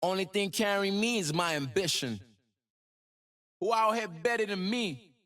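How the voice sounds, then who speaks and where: A man's voice: high voice, animated, measured pace, sharp tone, heavily acted. An actor voicing a cocky, ambitious young male hip-hop star in a video game, with swaggering, slangy, rhythmic delivery. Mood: confident, driven. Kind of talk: game voice lines.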